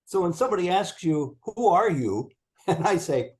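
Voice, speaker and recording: A man's voice: high voice, big pitch swings, moderate pace, darkish tone. A man in his seventies hosting a spiritual discussion group over a video call, chatty and questioning. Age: 70s. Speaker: satsang group host asking questions.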